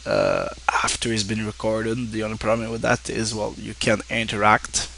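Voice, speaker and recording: A man from Quebec whose first language is French, speaking English with a Québécois French accent, talking casually to his viewers in a halting way with many fillers and restarts. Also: fairly low pitch, monotone, gravelly, sharp tone, a little hiss or hum. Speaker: streamer. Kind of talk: casual thank-you talk to viewers.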